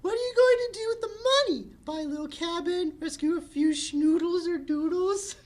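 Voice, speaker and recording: Squeaky, bubbly cartoon superhero girl. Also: moderate pace, darkish tone. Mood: chatty, gossipy. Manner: gushing, showy, squeaky girlish delivery. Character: bubbly, ditzy, fame-hungry young female cartoon superhero. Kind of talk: comedic table read.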